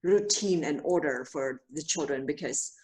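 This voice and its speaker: A woman from Indonesia, a Montessori teacher, speaks with a lowish voice. She answers over a video call, thoughtful and hesitant, with many pauses and fillers. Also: darkish tone. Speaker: Montessori teacher, interview guest.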